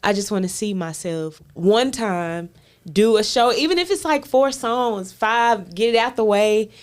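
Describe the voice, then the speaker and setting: Woman guest chatting at medium pitch. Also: lively intonation, unhurried. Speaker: guest, stage play cast member. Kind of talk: casual chat.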